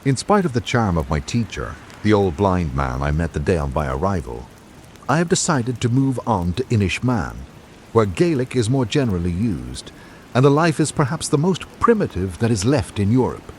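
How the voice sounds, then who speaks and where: A man plays a reflective travelling writer narrating a radio drama. His low voice moves expressively through literary prose, with faint rainy noise behind it. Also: sing-song, normal speed, a little mellow.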